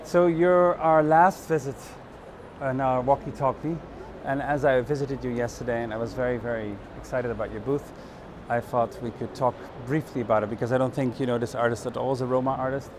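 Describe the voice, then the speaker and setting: A man's voice: mid-range voice, steady tone, fairly quick. A man hosting a walking tour, chatting and asking questions in a casual on-site interview style. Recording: noisy fair hall background.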